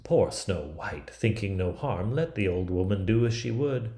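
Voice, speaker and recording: A man in his fifties from Canada narrating a fairy tale as a storybook reader, with deliberate, dramatic phrasing. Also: on the low side, animated, dark tone, echoey room. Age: 50s.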